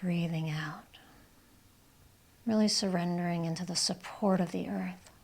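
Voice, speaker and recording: A woman leading a guided yoga and relaxation session as the instructor, speaking in a gentle, calm, soothing way with breathy cues. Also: fairly low pitch, measured pace. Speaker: yoga instructor and channel host.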